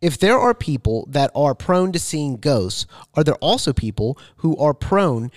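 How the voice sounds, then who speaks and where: A man's voice: fairly high pitch, very animated, unhurried, dry recording, clean studio sound. A man co-hosting a podcast, casually reading out listener questions and bantering.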